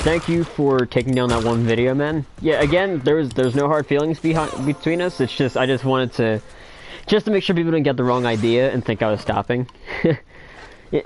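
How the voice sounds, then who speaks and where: A young man hosting a livestream, chatting casually while he plays a game. His voice is thin with little bass, and he is excitable and laughs a lot. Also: slight background noise. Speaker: livestream host.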